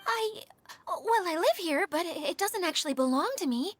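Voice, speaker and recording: A woman's voice: very high voice, animated, normal speed, heavy background noise. A woman voicing a timid, despairing young girl in a video game, hesitant and faltering. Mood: downcast, hesitant.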